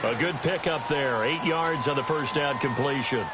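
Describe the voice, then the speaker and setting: A man's voice: mid-range voice, moderate pace, natural voice. A man with an American accent calls American football play by play in clipped, projected announcer phrases. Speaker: play-by-play sports commentator. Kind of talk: American football game commentary. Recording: very noisy, game sound over voice. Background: stadium ambience from a video game.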